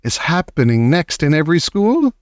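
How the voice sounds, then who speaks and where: A man voicing a gloating old female witch leader who shouts in broken grammar. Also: fairly high pitch, very animated, moderate pace, no echo, studio quality, voice pushed up.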